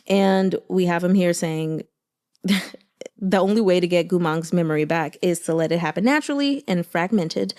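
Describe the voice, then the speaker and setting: A woman with a mid-range voice speaks in a casual, chatty way, like someone sharing thoughts with followers. Her delivery is informal and expressive, with conversational asides. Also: mid-range voice. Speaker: book reader and commentator.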